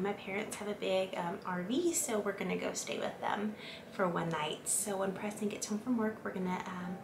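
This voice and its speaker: A young woman vlogging at home, chatting casually to the camera with many fillers, recorded in her kitchen. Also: medium pitch. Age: young adult. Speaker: lifestyle vlogger. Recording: echoey room with clear background noise.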